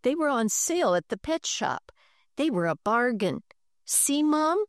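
An eager young boy from a storybook, his voice lifted high and bubbling as he pleads and shows off, as a woman reads him out. The words come slowly, with lots of rise and fall. The sound is close and dry. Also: very animated, no room sound, heavily acted.

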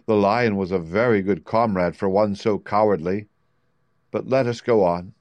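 A man's voice: lowish voice, normal speed, dry recording, highs cut off, lightly acted. A man playing a practical straw-stuffed scarecrow in a storybook reading, matter-of-fact and plain-spoken. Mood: regretful.

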